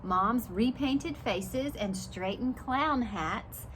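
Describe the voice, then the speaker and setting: A woman's voice: on the high side, drawn-out words, fairly bright tone, a little hiss or hum, poor audio. A woman preschool teacher reading a storybook aloud and adding simple explanations and questions for young children.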